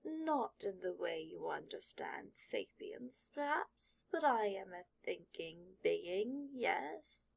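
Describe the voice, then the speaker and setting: A woman voicing an ancient telepathic sorting hat, speaking slowly and deliberately in an audiobook reading. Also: mid-range voice, expressive, vocal fry, slightly rough, heavily acted. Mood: musing. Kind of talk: audiobook character voice.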